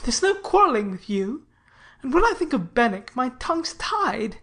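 A woman's voice: high voice, sing-song, normal speed, a bit bright, exaggerated voice. Woman voicing an earnest male sea captain. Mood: affectionate. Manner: earnest, emphatic pleading. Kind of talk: audiobook character reading.

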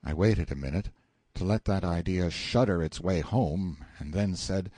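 A man's voice: low-pitched, unhurried, dark tone, no echo. A man narrating an audiobook in the first person, expressively and with wry, dramatic phrasing.

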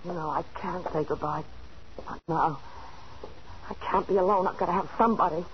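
An actress playing a lonely, desperate unfaithful wife in a halting, pleading way, in a 1940s radio thriller. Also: female voice, on the low side, close to an everyday voice. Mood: pleading, lost. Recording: muffled, noisy 1940s radio recording.

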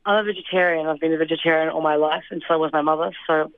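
A woman's voice: sits low, fairly even intonation, rapid-fire, dry recording. Young woman on a tinny phone line. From Australia. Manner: thoughtful, hesitant with fillers and soft laughs. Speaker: interview guest. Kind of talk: casual interview answers.

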